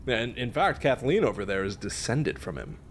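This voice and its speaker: A man's voice: mid-range voice, sing-song, normal speed, a little hiss or hum. A man playing a smug, teasing friend who spins spooky tales in an audio drama. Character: smug, teasing male friend telling a gory local legend. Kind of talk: naturalistic audio drama acting.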